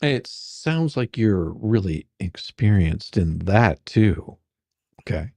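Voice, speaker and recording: A performer doing a comedic dramatic reading as a rambling, halting, faux-folksy lawyer questioning a witness in a deposition. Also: deep voice, animated, gravelly, a little mellow, no room sound, heavily acted. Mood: rambling, formal. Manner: halting, repetitive, mock-sarcastic, stumbling over questions.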